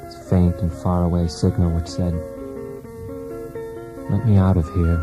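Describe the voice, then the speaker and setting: An actor playing a wounded, brooding husband in a poetic radio drama, speaking in a deliberate, intense, theatrical way. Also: male voice, deep voice, flat delivery. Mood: wistful, hurt. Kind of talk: poetic monologue in a radio play. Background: music.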